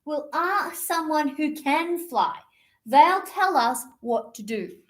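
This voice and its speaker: An eager little boy kitten from a picture book, voiced by a woman reader, very high and lilting. He is earnest and friendly, a small hunter who feels his way through what he says. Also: lively intonation, slow pace. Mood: calming.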